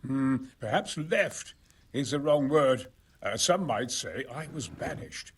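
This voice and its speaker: An actor playing a genial, self-important exiled engineer in a video game, talking in a wry, hesitant, gentlemanly way. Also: male voice, medium pitch, expressive, slow pace, breathy edge. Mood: wry, explanatory. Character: genial, erudite exiled male engineer, self-important about his opus. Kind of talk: video game cutscene acting.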